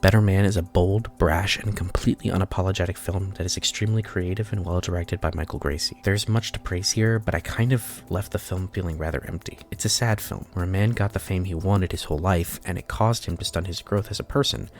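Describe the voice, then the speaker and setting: A man's voice: low voice, fairly even intonation, fairly quick, few pauses. A man in his early twenties, nasal and stuffy from a sinus infection, reading blunt, opinionated film reviews in a scripted voice-over. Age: early 20s. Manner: blunt, opinionated verdicts with scores.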